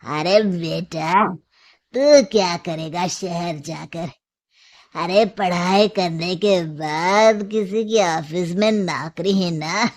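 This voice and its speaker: A woman's voice: lowish voice, a little mellow. A woman voicing a coarse, middle-aged drunkard shopkeeper father, mocking and scornful, in a storybook tale. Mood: mocking, coaxing. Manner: slow, drawling, mocking.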